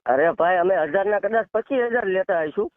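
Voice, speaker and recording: A man speaks in a high, rapid voice over a thin, tinny phone line. His Gujarati has a Saurashtra accent, and he argues defensively, insisting and interrupting as he goes. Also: dry recording. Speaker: shrine keeper answering a caller. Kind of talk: heated phone argument. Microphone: telephone. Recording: tinny narrow-band phone call recording.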